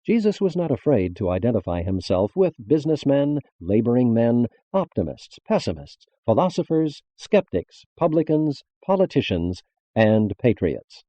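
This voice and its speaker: A man reading a religious text as a formal scripted narration, measured and carefully enunciated. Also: mid-range voice, sing-song, warm tone, no echo, lo-fi sound.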